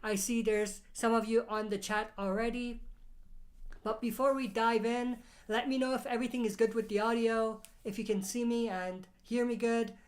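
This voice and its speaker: A woman's voice: fairly high pitch, flat delivery, moderate pace. A woman hosting a casual live Q&A stream, reading out viewer questions and answering them, her voice a little off from a cold. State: has a cold. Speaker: livestream host.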